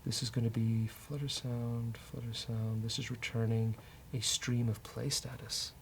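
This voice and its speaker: A man with a creaky, gravelly voice talks through his code as he goes. He is casual and a bit hesitant, often muttering to himself. There is faint noise behind him. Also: medium pitch, flat delivery, a little slow. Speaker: developer and show host. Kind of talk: casual live coding commentary, thinking aloud.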